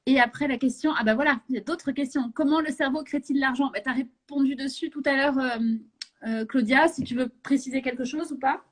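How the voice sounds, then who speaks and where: A woman hosting a live session, chatting casually with many fillers and quick laughs. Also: sits high.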